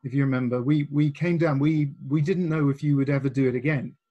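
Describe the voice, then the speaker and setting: A man's voice: a little high, fairly even intonation, a little fast, dark tone, dull audio. A man hosting a panel interview in casual, conversational style, feeling his way into his questions. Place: remote online video panel during lockdown.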